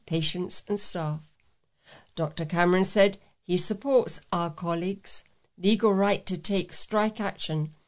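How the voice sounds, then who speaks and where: A woman's voice: mid-range voice, a little mellow. A woman reading scripted local news items as a volunteer newsreader.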